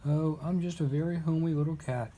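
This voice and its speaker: A man's voice: fairly high pitch, fairly even intonation, quick pace, mellow, slight background noise, lightly acted. A man reading as a humble, homely little storybook kitten, modest and plain-spoken.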